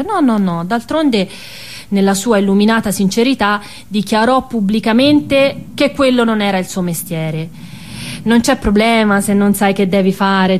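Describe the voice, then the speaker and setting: A woman, an actress performing a narrative stage monologue in an expressive, colloquial storytelling manner with emphatic repetition. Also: mid-range voice.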